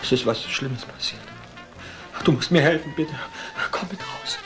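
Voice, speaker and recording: A man's voice: fairly high pitch, unhurried, close to an everyday voice. An actor playing a helpless, drunken young man in a German crime audio drama, pleading and agitated. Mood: panicked, pleading. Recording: music and rain under dialogue.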